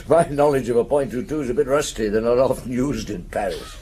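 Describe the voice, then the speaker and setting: An actor playing a shrewd, calm police chief inspector in a radio drama, with a thoughtful, probing manner. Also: male voice, medium pitch, slight background noise, natural voice. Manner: probing, thoughtful, asks short measured questions. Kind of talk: radio drama acting with first-person narration.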